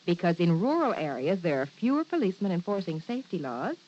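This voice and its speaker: A woman's voice: lowish voice, sing-song, fast, warm tone. An actress playing a kindly, capable, instructive policeman's wife in an old-time radio playlet. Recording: muffled old radio recording, faint hiss.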